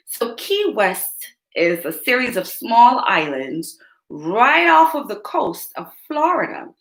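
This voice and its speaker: A woman's voice: a little high, fairly bright tone. A woman reading a picture book aloud to young children, turning to ask her listeners questions along the way. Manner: speaks directly to young listeners, asks them questions. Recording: roomy echo.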